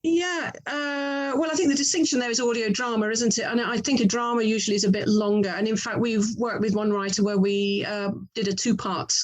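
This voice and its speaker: A woman's voice: fairly high pitch, steady tone, brisk, hardly any pauses. Chatty, reflective middle-aged English woman. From England. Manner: chatty, reflective, often self-interrupting. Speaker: author and audio drama producer being interviewed. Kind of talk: conversational interview answers.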